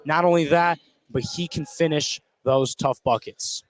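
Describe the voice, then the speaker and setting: A man's voice: a little high, fairly even intonation, measured pace, no room sound. A man giving casual live color commentary on a basketball game.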